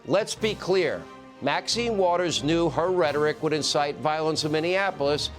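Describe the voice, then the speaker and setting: A male news presenter gives pointed commentary. His pitch is a little high, with faint music in the background. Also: animated, normal speed.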